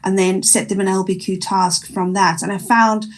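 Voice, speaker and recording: A woman with a South African accent, a primary school teacher talking in an online panel discussion, chatty and animated with many restarts. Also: medium pitch, normal speed.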